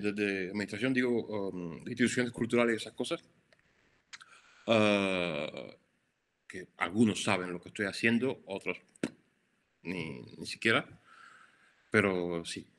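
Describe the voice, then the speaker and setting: A man's voice: on the low side, fairly bright tone. A middle-aged Cuban man with a Cuban Spanish accent, answering interview questions in a reflective way, often restarting and repeating words. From Cuba. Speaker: photographer, interview guest. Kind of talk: conversational interview.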